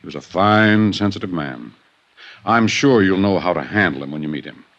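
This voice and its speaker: An actor playing a self-assured, insistent older American business executive in a 1950s radio drama, speaking in a formal, emphatic manner. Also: male voice, sits low, expressive, moderate pace, a bit bright, full character voice. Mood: insistent, certain. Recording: dull, band-limited old radio recording.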